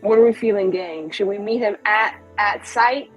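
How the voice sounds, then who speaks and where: A woman playing a tabletop game, animated and given to putting on character voices. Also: mid-range voice, normal speed, highs cut off, faint music behind. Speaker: player. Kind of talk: casual tabletop play.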